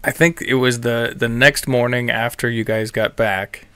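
A man's voice: mid-range voice, fairly even intonation, normal speed, a bit bright, smooth, dry recording. Chatty enthusiastic man on a dry mic. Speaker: podcast host. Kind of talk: casual interview.

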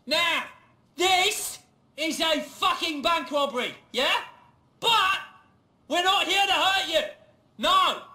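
An actor playing a manic, cocky, foul-mouthed male bank robber in a video game, shouting his orders. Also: extremely high pitch, full character voice. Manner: shouting, swearing, erratic. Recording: echoing room sound.

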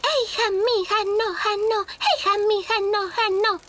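A woman's voice: very high voice, steady tone, a little slow, a little hiss or hum. A woman voicing a playful, giggly little girl ladybug in a cartoon. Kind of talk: cartoon dub acting. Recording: studio voice over loud music.

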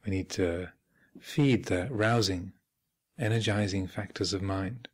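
A man speaking as a meditation teacher, giving measured guided instruction with thoughtful pauses and gentle phrasing. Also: sits low, slightly rough. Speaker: Buddhist monk and meditation teacher leading a retreat. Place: meditation hall at a retreat center.

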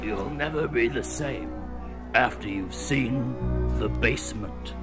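A man doing a spoof film trailer voice-over, with dramatic, booming promo delivery. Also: takes it slowly. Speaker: trailer announcer. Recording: loud music bed underneath.

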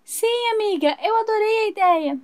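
A woman doing a bubbly high-pitched girlfriend. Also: normal speed. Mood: excited. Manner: high, excited, gushing. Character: cheerful young woman doll, friend answering phone.

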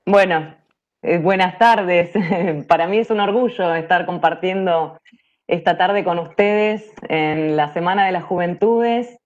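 Low-pitched Argentine woman presenting. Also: measured pace, a little mellow. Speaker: workshop presenter. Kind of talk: prepared presentation on a video call. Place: indoors, on a video call. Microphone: video call. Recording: dull lo-fi video-call audio.

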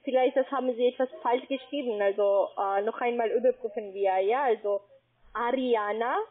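A woman from Spain with a Spanish accent, working as a call-centre agent and speaking broken German, who spells out letters slowly and patiently. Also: a little high, husky. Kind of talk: phone sales call. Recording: tinny phone line.

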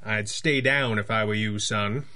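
An actor playing a shrewd, commanding masked vigilante in a 1930s radio drama, with formal, deliberate speech. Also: male voice, on the low side, steady tone, bright, forceful tone. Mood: brisk, measured.

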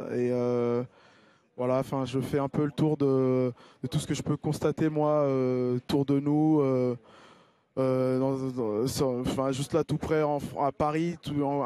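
A man's voice: medium pitch, flat delivery, moderate pace, mellow, clear, resonant tone, no echo. A man in his thirties, a musician whose first language is Lingala, chatting hesitantly in an interview into a handheld mic in an outdoor square. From Congo-Kinshasa. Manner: hesitant, many fillers and restarts. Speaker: musician guest. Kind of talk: casual interview chat. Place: outdoor square stage with colored drapes. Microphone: handheld mic held by host. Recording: guitar playing behind. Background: acoustic guitar.

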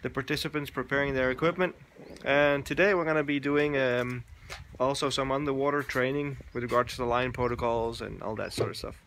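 A man in his forties films himself outdoors on a phone held at arm's length. He talks in a relaxed, halting way, with many fillers, and stops to search for words. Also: medium pitch, slight background noise. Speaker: dive instructor vlogger. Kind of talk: casual selfie vlog. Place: outdoors, covered shelter and lawn. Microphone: phone camera at arm's length.

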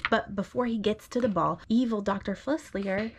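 A woman speaking gently, as a parent does to a small child at bedtime. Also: mid-range voice, moderate pace, slightly rough. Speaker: parent reading aloud. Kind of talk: bedtime storybook read-aloud. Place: bedroom at home.

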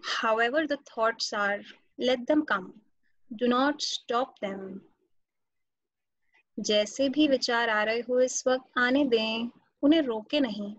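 A woman meditation teacher with an Indian accent speaks in a fairly high voice. Her instructions come slowly, separated by long pauses. The sound is dry and a little dull, as if over a webinar call. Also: fairly bright tone, no echo. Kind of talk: guided meditation. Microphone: video call. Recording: dull, muffled webinar audio.

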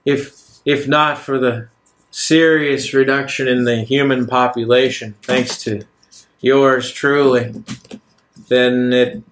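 A man voicing a self-justifying, measured villain in his nineties, a wealthy recluse, in a tabletop game. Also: mid-range voice, fairly even intonation, fairly bright tone. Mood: smug, grim.

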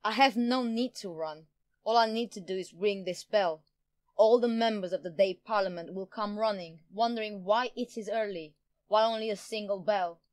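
An actress plays a haughty, power-hungry stateswoman in an audio drama. Her voice sits a little low for a woman and is recorded very dry. She speaks with smug, theatrical pomposity, relishing her own schemes. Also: moderate pace, no echo, lightly acted. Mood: manic glee. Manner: theatrical, pompous, self-satisfied. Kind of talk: audio drama villain acting.

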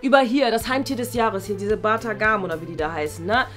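Eine Frau spielt eine genervte, abfällige Vertretungslehrerin in einem Schulsketch und redet fahrig in abgebrochenen Sätzen. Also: female voice, mid-range voice, quick pace, a bit bright, soft background music, natural voice. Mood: dismissive, brisk. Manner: rambling, breaks off sentences, exasperated. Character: irritable, dismissive female substitute school teacher, easily exasperated. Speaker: substitute teacher in a sketch series. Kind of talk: improvised school sketch acting.

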